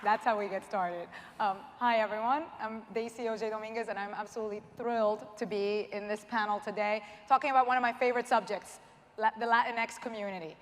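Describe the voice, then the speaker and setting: A woman moderating a panel discussion with an energetic, lively manner. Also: medium pitch, fairly quick. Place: large hall stage. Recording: reverberant hall.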